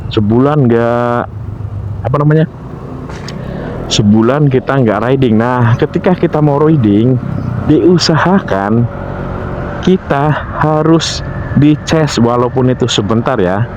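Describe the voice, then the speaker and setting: An Indonesian man with a mid-pitched voice talks through gear tips in an informal vlog style. He is instructive and repetitive, pausing to find words. The audio is dull and lo-fi, with faint vehicle noise behind him. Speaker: motovlogger giving gear care tips. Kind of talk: informal explanatory vlog talk.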